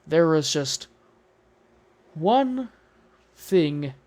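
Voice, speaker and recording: A man narrating a scripted tutorial as its host, with theatrical, drawn-out emphasis. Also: on the high side.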